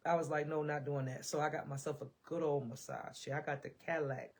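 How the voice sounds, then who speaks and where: A woman's voice: low-pitched, fairly even intonation, fairly quick, a little mellow. American woman chatting, voice giving out. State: losing her voice. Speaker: self-care and parenting vlogger. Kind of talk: casual chat to camera. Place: indoors at home.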